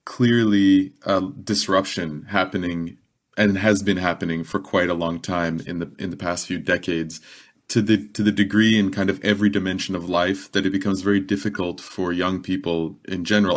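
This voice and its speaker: A man speaking reflectively as a guest in a podcast panel discussion. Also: low voice. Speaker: podcast guest, youth education worker.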